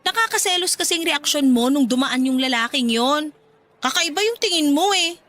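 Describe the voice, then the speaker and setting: Bright, high-voiced jealous partner. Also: female voice, lively intonation, moderate pace. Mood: sulky, defensive. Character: jealous, sulky, insecure romantic partner. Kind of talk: radio drama dialogue.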